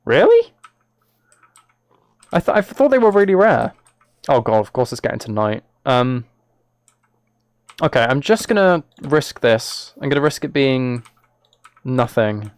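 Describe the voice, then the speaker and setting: Clear-voiced young British man, casual streamer. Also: medium pitch, very animated, a little slow, long pauses, no echo. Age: late teens to early 20s. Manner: playful mock-exasperation, wry sarcasm. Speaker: live streamer. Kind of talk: casual gaming livestream chat, commentary.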